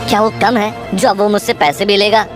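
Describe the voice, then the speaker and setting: A woman's voice: medium pitch, flat delivery, fairly quick, over music, pitched below the performer's own voice, close to an everyday voice. A woman voicing a stingy, stubborn young village man in a cartoon, whiny and protesting in manner. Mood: complaining. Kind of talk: exaggerated Hindi cartoon acting.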